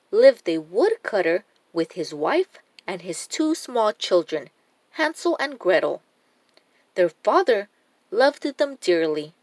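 A woman narrates a children's storybook at an unhurried pace. Her intonation rises and falls in an animated way, with each phrase set out deliberately. The sound is dry and close, with little room to it. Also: mid-range voice, no room sound. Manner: slow, deliberate, expressive read-aloud delivery. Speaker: storyteller reading children's books.

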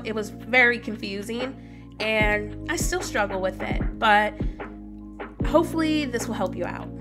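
A woman in her thirties, stuffy from a cold, chatting casually with viewers while livestreaming a game and greeting them by name. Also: fairly high pitch. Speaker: streamer. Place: home room, indoors. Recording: music playing behind voice.

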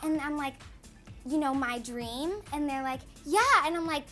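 A woman's voice: sits high, moderate pace, pushed and bright, faint music behind. A teenage American girl chatting casually as an interview guest, bubbly and peppering her talk with "like". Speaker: interview guest, young actress. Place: small TV studio lounge. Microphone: lapel mic.

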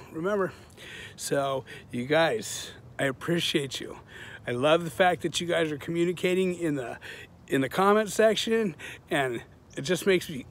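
A fairly high-voiced man in his forties rambles to his followers in a loose, earnest, profane way. He is standing outdoors on a sunny suburban street. The sound comes from a phone held at arm's length. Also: vocal fry, fairly bright tone. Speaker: vlogger, channel host. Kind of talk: casual selfie vlog to viewers.

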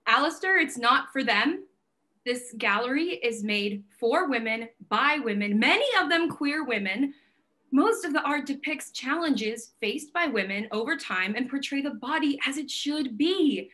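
Actress doing a bossy fired-up gallery boss lady, high voice, very emphatic, play reading. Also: expressive, unhurried, bright, forceful tone, full character voice. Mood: proud, firm. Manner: assertive, emphatic, declarative. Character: fiery, bossy, idealistic woman gallery owner. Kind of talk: virtual staged play reading. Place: plain indoor room, video call.

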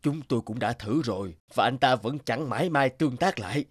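A man's voice: medium pitch, expressive, brisk, no echo, clean studio sound, natural voice. A man voicing a burly prison lieutenant who speaks formally and officially in a dubbed cartoon. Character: burly male prison lieutenant, stern but polite.

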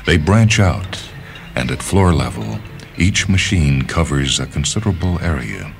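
A man reading a literary short story as a radio narrator, in a measured, deliberate and dramatic delivery. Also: extremely low pitch. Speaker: reader of short stories on a radio show. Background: background music.

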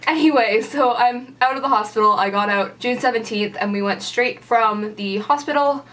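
A woman's voice: a little high, normal speed, a bit bright, recorded from a distance, low-quality recording. A young woman vlogging casually at home, rambling with lots of "like" fillers while gesturing. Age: young adult. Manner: chatty, lots of "like" fillers, gestures with her hand. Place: small room at home, indoors by a door.

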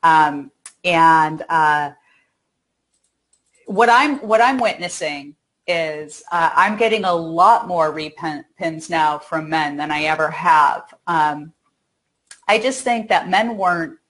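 A woman's voice: sits low, slow pace, a bit bright, low-quality recording. A middle-aged woman co-hosting a casual discussion over a video call. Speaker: talk show co-host. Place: home room, video call.

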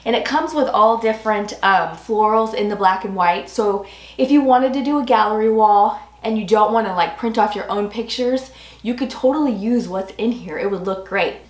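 A woman in her forties talks casually to her viewers about home decor products. Her voice is medium in pitch and a little hoarse, with a chatty, enthusiastic delivery. The sound is roomy, as if recorded indoors a few feet from the microphone. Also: moderate pace. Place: small furnished rooms at home, indoors. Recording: roomy echo.

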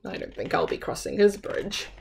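A woman's voice: medium pitch, expressive, normal speed, vocal fry, darkish tone, slight background noise. A woman in her thirties reading a children's story aloud expressively, as a storyteller at home. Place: small room at home.

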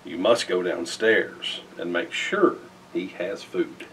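A middle-aged man reads a rhyming children's picture book aloud in an expressive, theatrical way with emphatic phrasing. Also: low-pitched, takes it slowly, distant mic, background noise. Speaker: storybook reader. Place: indoors in front of a festive backdrop.

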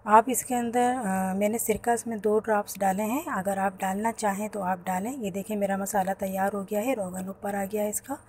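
A woman, hosting a home cooking channel and calmly talking through each step of a recipe. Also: mid-range voice, moderate pace, hardly any pauses, slight background noise. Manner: explains each step plainly to viewers. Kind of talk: home cooking tutorial voice-over. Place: home kitchen.

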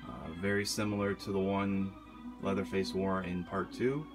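Low-voiced man chatting over music. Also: normal speed. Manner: relaxed, many filler ums and uhs. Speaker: horror mask collector vlogger. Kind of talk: casual show-and-tell vlog. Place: indoor room with horror posters. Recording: music playing clearly behind.

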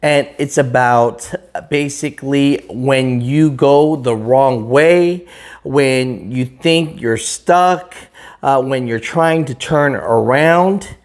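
A man in his thirties speaks slowly and earnestly, straight to the camera, like a trucking channel host having a casual chat with his viewers. His pitch sits in the middle for a man. The recording is close and indoors, picked up by a camera mic. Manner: slow, earnest, talks straight to camera with hand gestures. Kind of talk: casual vlog commentary. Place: indoors in front of a green screen.

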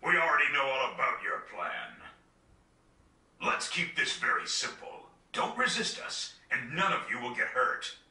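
A man's voice: medium pitch, very animated, measured pace, gravelly, pushed and bright, distant mic, heavily acted. A man playing a stern older guard commander in a video game, commanding and menacing, recorded off a TV. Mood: menacing authority. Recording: roomy game audio recorded off a TV.

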